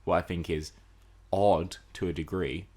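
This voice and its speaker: A man with a low-pitched voice co-hosts a podcast. He speaks casually, weighing things up in a reflective, analytical way as he discusses screen entertainment. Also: steady tone, unhurried, mellow. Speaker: podcast co-host.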